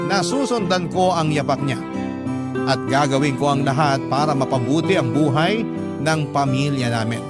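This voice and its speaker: Man as higher-pitched earnest storyteller over guitar music. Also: close to an everyday voice. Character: burdened young breadwinner reading a heartfelt confession letter. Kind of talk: scripted radio drama narration.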